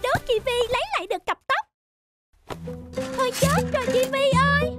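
A woman's voice: very high voice, fairly quick. A woman voicing a mischievous, fretful, affectionate small boy in a children's cartoon. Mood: panicky. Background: children's background music.

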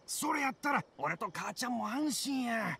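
Loud old kansai dad, anime game, croaky n hearty, pitched up. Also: male voice, animated, moderate pace, heavily acted. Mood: hearty, relieved. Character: loud, hearty elderly father of a grown daughter.

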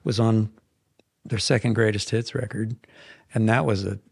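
A man in his fifties, a songwriter guest in a podcast studio, telling stories in a rambling, animated way with frequent restarts. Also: fairly low pitch, normal speed, gravelly, breathy edge, no echo. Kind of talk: casual interview storytelling. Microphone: headphones and boom mic.

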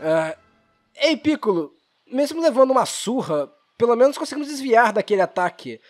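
A man's voice: very high voice, very animated, normal speed, soft background music. A man with a Brazilian accent playing a cheerful, dim-witted young hero in a comedic anime parody dub. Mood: naive, upbeat. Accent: Brazilian Portuguese. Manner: naive, guileless.

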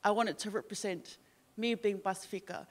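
A young woman from Samoa speaks on an event stage, holding a handheld microphone. She talks in a casual, animated way, full of fillers and restarts. Her voice sits at a middle pitch for a woman. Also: normal speed. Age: young adult. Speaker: panel guest. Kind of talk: panel discussion. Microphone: handheld mic.

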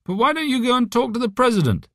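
A man's voice: extremely high pitch, very animated, quick pace, dry recording, clean studio sound, pitched above the performer's own voice, full character voice. A man voicing a drunk, cynical mathematician in an audiobook, bored, offhand and sceptical. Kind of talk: audiobook character voice.

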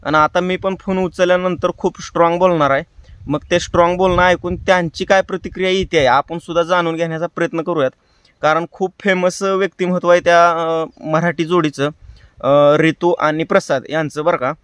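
A man's voice: fairly high pitch, fairly even intonation, brisk, a bit bright, clean tone, no echo. A man with a rural Maharashtra Marathi accent, hosting prank calls and chatting casually to his viewers with colloquial tag phrases. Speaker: prank call show host.

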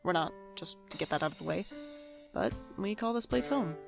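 A woman plays a young audio drama slacker with a creaky voice. The delivery is wry and self-deprecating, easily flustered, with music behind it. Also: lowish voice, lively intonation. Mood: wry, chatty. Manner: self-deprecating, dry asides, flustered when rushed. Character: wry, hapless, anxious twenty-five-year-old nonbinary slacker narrator. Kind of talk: audio drama narration and dialogue.

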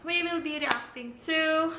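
A woman's voice: high voice, a little slow, background noise. A young woman, chatting casually as co-host of a reaction video, full of fillers and restarts. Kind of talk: casual chat. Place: small room indoors, seated in gaming chairs. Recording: echoey room with music behind.

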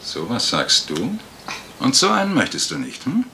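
A man's voice: mid-range voice, huge pitch swings, moderate pace, creaky, pushed and bright, slight background noise, heavily acted. A man playing a flippant, charming rogue in a radio crime drama, teasing, nonchalant and evasive.

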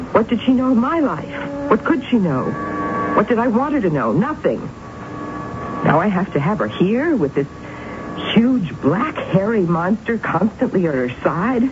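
An actress playing a reclusive, fearful and prickly woman in an agitated radio drama style. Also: medium pitch. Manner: agitated, fretful delivery. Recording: dull, noisy 1970s radio recording.

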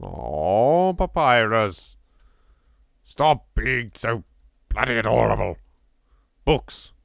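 A man's voice: mid-range voice, very animated, extremely slow, creaky, dry recording, clean studio sound. A man with a British accent doing playful, exclamatory game commentary, bantering and swearing freely. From England. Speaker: let's play host. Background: game music.

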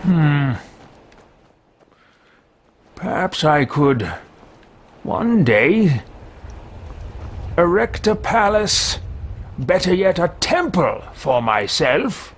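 A man puts on a haughty wizard-for-hire who mutters grandly to himself as he treks. He speaks very slowly, stretching each phrase, and his pitch swings widely and a little high. A faint hiss sits under the headset recording. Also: big pitch swings, full character voice. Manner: pompous, theatrical, slow and drawn out. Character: arrogant male wizard mercenary talking to himself. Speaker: let's play roleplayer. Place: small room with bookshelves, indoors. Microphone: headset mic at a desk webcam.

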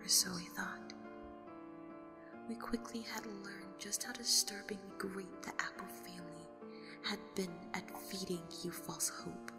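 A haunted, grieving survivor of a horror story, acted by a woman speaks in a half-whisper full of creak. It is dramatic audio drama narration over faint music. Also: mid-range voice, flat delivery, a little slow, lightly acted. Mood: bitter, grim.

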